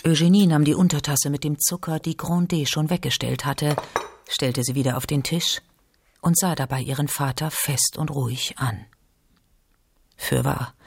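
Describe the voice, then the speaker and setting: A man narrating a literary radio drama in a creaky, husky voice. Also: on the high side, no echo, clean studio sound.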